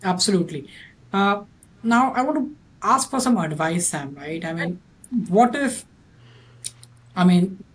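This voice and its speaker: A man's voice: high-pitched, animated, a little slow, pauses often. A man with a thick Indian accent hosting a podcast interview, asking questions with many restarts and a habit of adding "right?". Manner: restarts sentences often, tags "right?". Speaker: podcast host.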